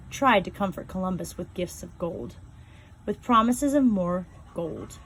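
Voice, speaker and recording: Woman calmly reading a novel aloud. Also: lowish voice, slight background noise, rough recording. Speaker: reader.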